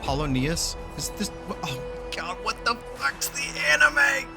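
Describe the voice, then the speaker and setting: A man giving casual, jokey and excitable reaction commentary as he streams a game, swearing freely. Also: high-pitched, unhurried, vocal fry. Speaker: gaming streamer. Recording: loud game music behind.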